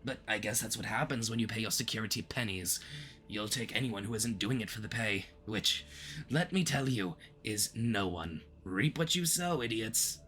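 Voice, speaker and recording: A man's voice: fairly low pitch, expressive, moderate pace, pushed and bright, slight background noise. A man playing a smug but easily flustered, obsessive supervillain in a flirty, theatrical roleplay addressed to the listener, with awkward stammering slips. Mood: scornful, gloating.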